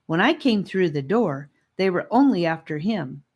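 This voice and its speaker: A woman's voice: fairly low pitch, sing-song, normal speed, darkish tone, slightly rough. A woman voicing an outspoken, proud young girl who emphatically corrects others in a children's audiobook. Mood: correcting. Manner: interrupting, emphatic.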